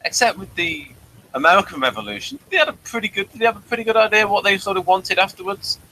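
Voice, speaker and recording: A man hosts a casual review show in a bright, slightly high voice. He rambles and drops in jokey asides. There is noticeable background noise, like traffic ambience, behind him. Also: quick pace, creaky, low-quality recording. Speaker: host of an audio drama review show.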